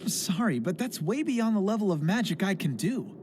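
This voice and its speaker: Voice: mid-range voice, big pitch swings, moderate pace, darkish tone, a little hiss or hum, close to an everyday voice. A performer playing a bewildered, earnest teenage boy in an anime dub, talking casually and easily flustered. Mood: unsure. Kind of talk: anime English dub acting.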